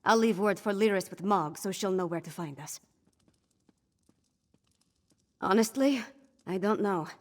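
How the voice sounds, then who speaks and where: Wry, earnest young princess, played by an actress. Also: female voice, mid-range voice, normal speed, a little mellow, natural voice. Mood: resolute. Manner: earnest, informal, self-deprecating. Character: young princess, wry, rough-edged, grieving and unsure but resolute. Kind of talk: video game voice acting.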